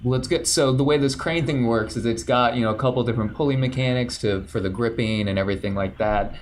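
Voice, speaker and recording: A man running a tabletop role-playing game, describing the scene to the players in a relaxed way. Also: mid-range voice, quick pace, slight background noise. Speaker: game master.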